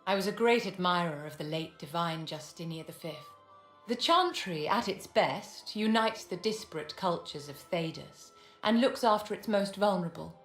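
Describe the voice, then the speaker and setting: In a reverberant hall with music underneath, an actress voices an aristocratic, shrewd woman mage for a video game. Her voice sits fairly low for a woman, and she speaks with measured, haughty courtesy. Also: lively intonation, natural voice. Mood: poised, assured.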